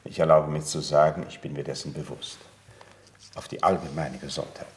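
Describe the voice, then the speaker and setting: An actor playing a formal, obsequious servant with quiet menace, speaking in a stilted, overly polite way in a German radio play. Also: male voice, extremely low pitch, steady tone, fairly quick, a little hiss or hum. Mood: stiffly polite. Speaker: household servant.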